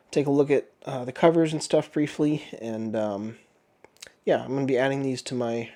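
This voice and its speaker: Male host, under the weather with flu, rambling casual delivery, frequent throat clearing, mid-pitched. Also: warm tone. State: sick with the flu, voice sounds off. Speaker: book review channel host. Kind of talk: casual chat.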